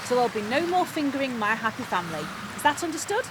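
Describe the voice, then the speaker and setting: Bossy, high-voiced, animated manager. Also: female voice, fast talker. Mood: officious. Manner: officious, patronising, pointed. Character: bossy, self-important female workplace manager who gossips. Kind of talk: audio comedy drama acting. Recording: clear rain and outdoor ambience noise.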